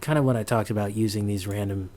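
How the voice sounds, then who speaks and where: A man giving an informal sound design tutorial, halting as he thinks aloud. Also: on the low side, fairly even intonation, background noise.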